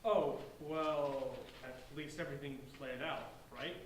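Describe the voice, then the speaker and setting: A man's voice: on the high side, fairly even intonation, slow pace. A man playing a polite, bookish fifteen-year-old outsider with an earnest, wordy manner in a staged play reading. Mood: polite, awkward. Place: large echoey indoor room, distant microphone. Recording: echoey room from far off, faint noise.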